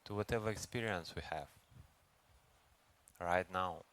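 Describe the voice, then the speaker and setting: A man leads a guided meditation as a teacher, speaking gently and unhurriedly with long pauses. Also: low voice, clean tone. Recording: noisy recording with ambient background sound.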